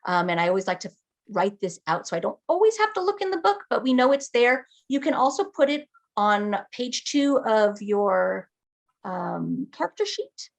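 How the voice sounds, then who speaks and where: A woman's voice: mid-range voice, sing-song, moderate pace, gravelly. Woman patiently explaining game rules. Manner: patient, explanatory, checks understanding often. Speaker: game master guiding character creation.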